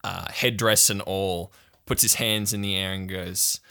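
A man's voice: deep voice, steady tone, measured pace, gravelly, pushed and bright, smooth. A man narrating an improvised tabletop game as game master, in a descriptive storytelling way.

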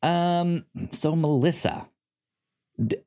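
A man's voice: on the high side, slow, dark tone. A man co-hosting a casual podcast, chatty, often stumbling and restarting his words. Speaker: podcast co-host.

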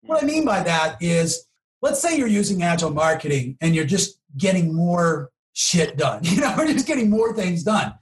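Male interview guest, slightly high voice, conversational explainer who tags points with rhetorical questions. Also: very animated, moderate pace, poor audio. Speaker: marketing expert guest.

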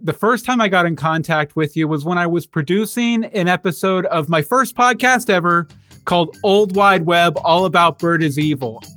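Bright dry-sounding man hosting a podcast. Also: high-pitched, moderate pace, no echo, faint music behind. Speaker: podcast host and interviewer. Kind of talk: casual podcast conversation.

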